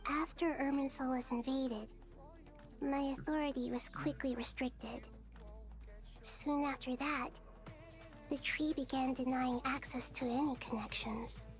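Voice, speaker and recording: A woman playing a small, earnest young goddess in a video game, speaking in a measured, formal and solemn way. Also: sits high, steady tone. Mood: weary, regretful. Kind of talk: scripted video game dialogue. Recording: game music behind the voice.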